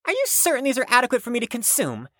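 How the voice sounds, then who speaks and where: A woman's voice: fairly high pitch, wildly swooping pitch, fast, a bit bright, no room sound, studio quality, full character voice. A woman playing a prim, wordy, anxious patient who speaks formally and precisely in an audio drama. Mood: formal, earnest.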